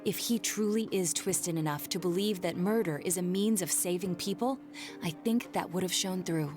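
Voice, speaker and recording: A woman's voice: lowish voice, close to an everyday voice. Composed, analytical young detective voiced by a woman, music behind. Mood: analytical, serious. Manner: formal, precise, measured explaining. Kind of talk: English video game voice acting.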